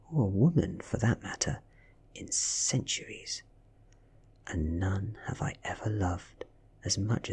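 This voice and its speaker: A man with a British accent giving a soft-spoken, breathy and hushed ASMR reading of a story, delivered dramatically. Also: fairly low pitch. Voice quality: breathy, whispery. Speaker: audiobook reader.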